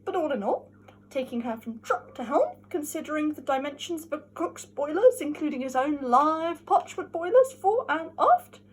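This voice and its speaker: High-pitched woman giving a theatrical book reading. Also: animated, normal speed, slight background noise, poor audio. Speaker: amateur reader. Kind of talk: theatrical dramatic reading of a classic novel.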